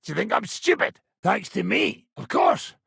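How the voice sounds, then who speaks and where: A rough, hard-drinking soldier from a video game, with an extremely raspy, gravel-filled voice. His pitch sits high for a man and swings wildly as he speaks, coarse and hot-tempered. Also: very animated, normal speed, fairly bright tone, no echo, clean studio sound, exaggerated voice. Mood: gruff, pushy. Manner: coarse, swearing, blunt. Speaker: game character voice actor. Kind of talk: video game character dialogue.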